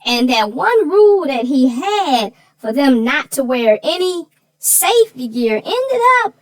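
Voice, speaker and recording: Lady co-host chatting slowly about a kids story, very animated pitch, earnest, repeats stuff for emphasis. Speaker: co-host of a children's read-aloud show. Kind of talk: conversational story discussion and safety advice.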